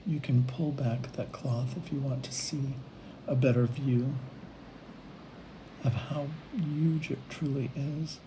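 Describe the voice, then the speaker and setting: A woman leading a guided meditation, speaking in unhurried phrases with long pauses between them. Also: rumbling low, steady tone, background noise. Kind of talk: guided meditation, gentle imagery narration.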